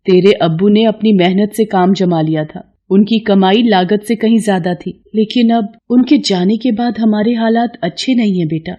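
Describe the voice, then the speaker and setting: A woman voicing a humble, poor widowed mother in a cartoon story, speaking gently, politely and plaintively. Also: mid-range voice, normal speed, hardly any pauses, muffled recording, lightly acted. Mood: sorrowful. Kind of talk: cartoon moral story acting.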